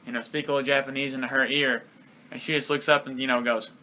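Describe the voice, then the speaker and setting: A man's voice: medium pitch, little pitch movement, fast talker, sharp tone, poor audio. A man co-hosting a casual wrestling review podcast, talking in a laid-back, blunt way with lots of fillers. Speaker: podcast co-host.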